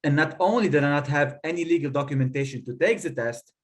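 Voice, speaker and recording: A man, telling a personal story on a panel in a measured, articulate, rhetorical way. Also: a little high. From Lebanon. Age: 30s. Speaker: guest speaker telling their story.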